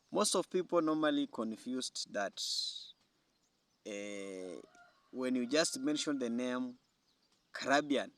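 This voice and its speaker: A man presenting casually as a reaction video host, with halting, non-native English phrasing. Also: medium pitch, fairly bright tone.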